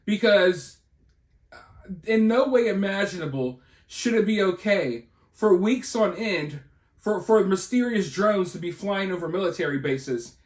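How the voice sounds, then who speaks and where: An American man hosts informal news commentary in a notably high-pitched voice. He speaks conversationally and rhetorically, checking in with listeners as he speculates. The recording is clearly roomy, with echo around his words. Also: lively intonation, measured pace. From United States. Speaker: online video commentator and channel host.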